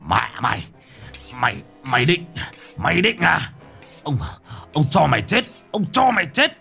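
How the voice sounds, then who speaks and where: A man's voice: medium pitch, huge pitch swings, slow, gravelly, voice pushed up, exaggerated voice. A man voicing a crazed middle-aged husband who snarls and hisses his threats. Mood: screaming rage. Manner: hissing, snarling, shouting threats. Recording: soft background music.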